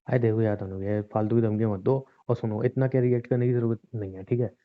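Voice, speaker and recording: A man's voice: lowish voice, fairly even intonation, quick pace, a little hiss or hum, lightly acted. A man playing a callous, shameless husband, dismissive and irritated as he argues in an amateur audio drama, heard over a thin phone line. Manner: dismissive, brushing off accusations. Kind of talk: hobby audio drama, staged husband-wife quarrel.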